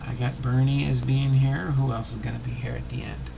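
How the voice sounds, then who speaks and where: A man's voice: medium pitch, little pitch movement, a little fast, vocal fry, background noise. A man hosting a casual livestream about sports cards, rambling and thinking aloud as he goes. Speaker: livestream host of a baseball card channel.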